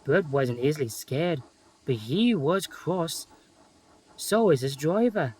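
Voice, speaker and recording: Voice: medium pitch, expressive, takes it slowly, darkish tone. A narrator reading a scripted children's railway story. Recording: music under the voice.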